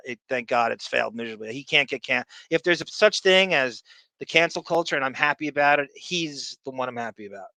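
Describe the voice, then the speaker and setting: Man, podcast host, bright crisp voice, dry close sound, opinionated, chatty. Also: medium pitch, no room sound. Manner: chatty, opinionated, quick asides. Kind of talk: casual chat reacting to clips.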